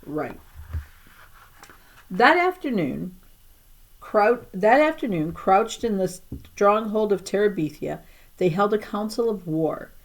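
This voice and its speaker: A woman, a teacher reading aloud, giving expressive storybook narration for children. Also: mid-range voice, unhurried.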